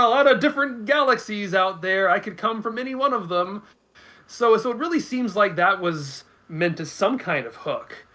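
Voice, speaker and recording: A man's voice: sits high, bright, forceful tone. A man speaking as a guest commentator in a casual unscripted discussion, thinking aloud with hesitant starts.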